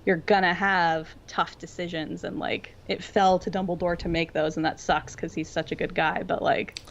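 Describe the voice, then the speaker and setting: A woman's voice: lowish voice, level tone, moderate pace, gravelly, a little hiss or hum. A woman co-hosting a podcast, casually discussing fiction in an earnest, thoughtful, informal way. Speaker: podcast co-host. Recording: dull lo-fi audio.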